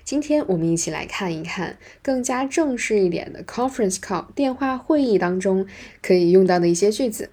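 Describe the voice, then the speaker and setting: A woman's voice: mid-range voice, lively intonation, fairly quick, mellow. A woman working as an English teacher, narrating a scripted language lesson and modelling example phrases in an instructional way.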